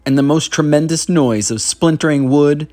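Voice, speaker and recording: A reader narrating a children's book aloud in an animated, scripted style. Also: mid-range voice, normal speed, faint music behind. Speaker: storybook reader.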